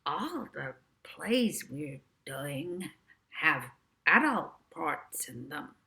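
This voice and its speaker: Lady doing a posh showbiz boss woman, new york type, slow haughty drawl, storybook thing. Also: low voice, sing-song, creaky, pushed and bright, exaggerated voice. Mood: dazed. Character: glamorous, haughty New York woman theatre executive, later dazed.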